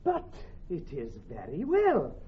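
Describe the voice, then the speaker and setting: Pedantic officer, emphatic, very lively pitch, stage-trained delivery, muffled hissy radio recording. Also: male voice, slow pace, heavily acted. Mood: indignant. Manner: fussy, emphatic explaining. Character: pedantic, earnest, excitable Welsh army captain. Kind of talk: theatrical Shakespearean radio acting. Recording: dull, noisy old radio recording.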